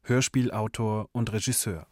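A man's voice: lowish voice, steady tone, moderate pace, darkish tone. A man announcing names and professions in short scripted introductions.

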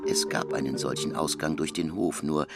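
A man's voice: rumbling low, steady tone, moderate pace, over loud music. A man reading scripted literary narration as the narrator.

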